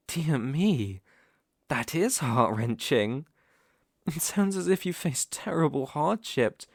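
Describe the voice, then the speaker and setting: A man's voice: mid-range voice, no room sound, clean studio sound. Man acting as courtly, sinister British werewolf. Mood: courtly, sympathetic. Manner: courtly, formal, measured, insinuating. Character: smooth-talking sinister male werewolf posing as protective gentleman. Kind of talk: ASMR roleplay audio acting.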